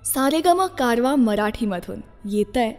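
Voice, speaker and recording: Lady hosting a radio show, high voice, lots of reverb, reading her script to listeners. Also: lively intonation, unhurried, darkish tone. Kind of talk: scripted radio presenting about classic songs. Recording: long reverb, music behind the voice. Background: music.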